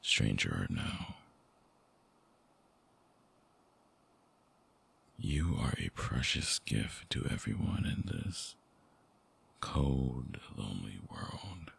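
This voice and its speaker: A man playing a brooding, tender, wounded vampire ex-lover in an intimate ASMR audio drama, husky and breathy, with a halting, hushed delivery close to the mic. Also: very deep voice, sing-song, heavily acted. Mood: tender, regretful. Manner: slow, halting, sighing, hushed confiding. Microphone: close binaural mic, dry sound.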